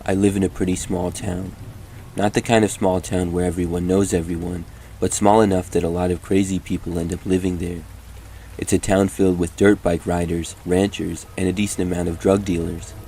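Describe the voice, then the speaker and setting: Deep-voiced man narrating horror stories. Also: dark tone, slight background noise. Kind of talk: scripted horror story narration.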